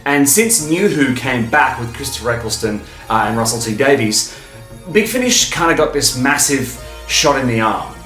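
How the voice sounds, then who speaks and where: A man's voice: medium pitch, animated, normal speed, a bit bright. Man, video host, enthusiastic informal commentary, emphatic stop-start delivery, roomy echo, music behind. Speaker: video host and fan reviewer.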